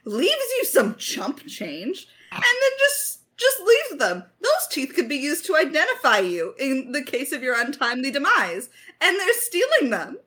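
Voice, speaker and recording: A woman's voice: high-pitched, huge pitch swings, unhurried, sharp tone. A woman telling stories casually as a writer in a creator Q&A, with playful mock-dramatic emphasis. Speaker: lead writer of an audio drama.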